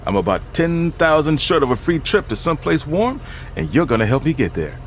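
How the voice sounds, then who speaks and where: Animated middle-aged dad. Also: male voice, a little high, a little fast, a little hiss or hum. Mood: cheerfully scheming. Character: scheming, jokey middle-aged adoptive dad. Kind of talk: video game cutscene dialogue.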